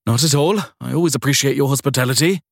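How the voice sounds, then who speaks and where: A male narrator plays a harried man in an audiobook crime story, breathless and pitched higher. He is curt but polite, always in a hurry, with a dry, close sound. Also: fast, dry recording. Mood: polite, brisk. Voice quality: breathless, rasping between gasps. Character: flustered man checking out in a rush, curt, polite.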